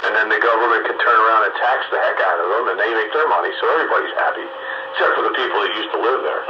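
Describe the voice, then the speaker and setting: A man's voice: lowish voice, racing. A man chatting casually over amateur radio in a folksy, wry, rambling way. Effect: two-way radio transmission. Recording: muffled, echoey, noisy ham radio transmission. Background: clear radio static and noise.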